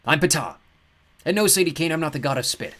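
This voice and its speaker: Brief: grinning, casual god, lighter and brighter in pitch, voiced by a male narrator. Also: expressive, fairly quick. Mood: breezy. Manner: chatty, offhand, apologetic. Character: cheerful grinning god in a borrowed farmer's body.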